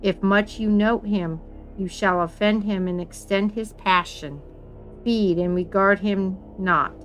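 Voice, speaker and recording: A woman playing a commanding, scornful queen in a Shakespearean dramatic reading, in deliberate, declamatory verse. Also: medium pitch, soft background music, close to an everyday voice, low-quality recording. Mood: composed, reassuring. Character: commanding, scornful queen covering for her husband. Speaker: amateur actor in a library's dramatic reading.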